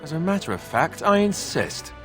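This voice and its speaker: A man's voice: fairly high pitch, very animated, moderate pace, fairly bright tone, faint music behind. A man voicing a young, self-doubting heir to a throne in a fantasy video game, earnest and wry, with a conversational, hesitant way of talking. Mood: wry, reflective.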